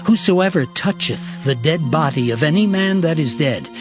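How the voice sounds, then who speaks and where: A man playing a solemn prophet reciting ritual law deliberately in a dramatized scripture reading. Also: a little high, lively intonation, over music, natural voice.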